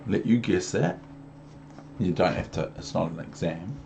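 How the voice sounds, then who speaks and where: A man's voice: low-pitched, animated, moderate pace, creaky, darkish tone, lo-fi sound, a little hiss or hum. A man with a New Zealand accent reading a storybook to young children, rambling into chatty asides and questions for the listener. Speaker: storybook reader.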